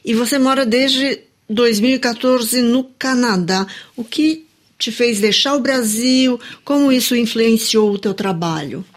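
A woman's voice: fairly high pitch, unhurried. A woman with a Brazilian accent conducting a radio interview in a studio, moving between prepared introductions and questions.

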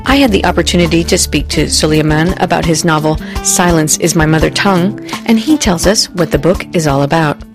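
A woman hosting a literature podcast, presenting and asking questions in a clear, measured way. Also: low-pitched, over music. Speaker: podcast host. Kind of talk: radio podcast interview.

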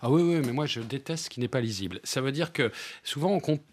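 A man who is bilingual in French and Portuguese, a theatre director speaking as a guest in a radio interview, emphatic and repeating words as he explains. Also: quick pace. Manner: emphatic, repeats words, lively pitch swings.

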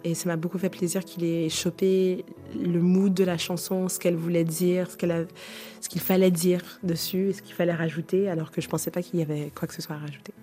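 A woman's voice: on the low side, little pitch movement, fairly quick, dark tone, faint music behind. A woman singer guest chatting on a radio show, enthusiastic and tumbling over her words. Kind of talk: casual radio interview.

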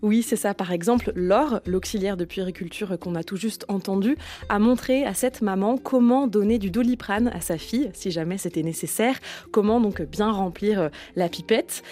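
Woman, radio reporter, warm dark tone, medium pitch, explanatory delivery. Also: normal speed.

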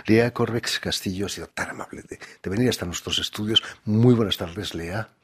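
A man hosting a radio interview from a studio, introducing his guest in a measured, formal way. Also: fairly low pitch, a bit bright, slightly rough. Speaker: radio host.

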